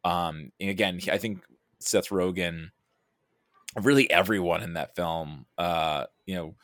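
A man's voice: low voice, measured pace, fairly bright tone, no room sound, clean studio sound. A man in a casual film discussion, thinking aloud and often correcting himself mid-sentence. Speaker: podcast guest or co-host discussing a film.

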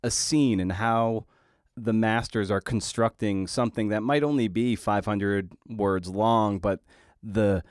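A man's voice: lowish voice, unhurried, no room sound, studio quality. A man hosting a podcast in a rambling, casual way, with a wry, self-deprecating, digressive manner. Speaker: podcast host and interviewer.

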